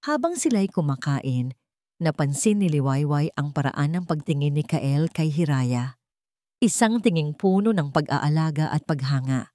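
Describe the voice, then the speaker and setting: A woman storyteller with a fairly low voice reads a sentimental tale. Her delivery is measured and earnest, clearly scripted narration. The recording is dry and close, with little room sound. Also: animated, mellow, dry recording.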